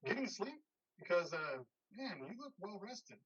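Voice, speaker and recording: An actor playing an easygoing, loyal construction worker friend in a play reading, talking casually and haltingly. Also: male voice, sits high, big pitch swings, a bit bright, breathy edge, full character voice, rough recording. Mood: breezy. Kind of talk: remote staged play reading.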